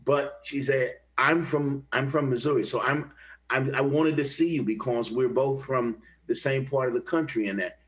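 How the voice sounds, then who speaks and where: Older man, from the kansas city area, actor, rambling storyteller, frequent restarts, middle-pitched voice. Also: normal speed. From Kansas City area, USA. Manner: rambling, many restarts and repeated words. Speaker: actor, interview guest. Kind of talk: conversational interview, storytelling.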